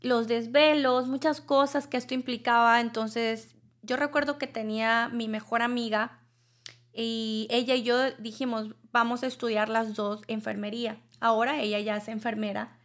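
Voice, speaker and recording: Woman, young adult, soft and a bit nervous, fairly high voice, interview guest. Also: steady tone, normal speed. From El Salvador. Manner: soft-spoken, a little nervous, reflective. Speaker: podcast guest, cosmetologist. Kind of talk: personal storytelling in interview.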